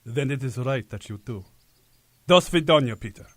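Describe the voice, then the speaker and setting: A man's voice: mid-range voice, animated, moderate pace, a bit bright, no echo. A man playing a loving, solemn peasant father in an audio drama. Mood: tender, resigned.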